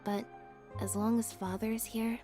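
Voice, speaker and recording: An actress voicing a quiet, composed young woman in a game, speaking softly. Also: fairly high pitch, moderate pace, soft background music, natural voice. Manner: calm, soft, reserved delivery.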